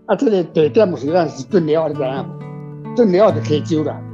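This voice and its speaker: A Taiwanese man with a very mellow, dark voice explains things off the cuff as an author, repeating words and tagging on questions. The sound is dull and lo-fi, with music underneath. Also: fairly high pitch, expressive, normal speed. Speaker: author answering readers' questions about a story. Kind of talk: casual spontaneous explanation.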